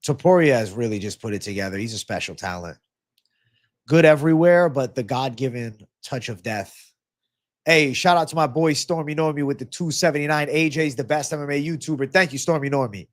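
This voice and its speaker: A man's voice: medium pitch, brisk. A man with a stuffy, congested nose hosting a casual livestream, reading viewer comments aloud in a laid-back, slangy way and swearing freely. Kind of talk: casual livestream Q&A on fight betting.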